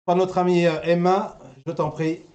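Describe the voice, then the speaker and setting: A man's voice: sits high, little pitch movement, moderate pace, a little mellow, lo-fi sound. A man hosting an online talk show in casual, joking chat, recorded at home. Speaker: host of an online anime and manga talk show.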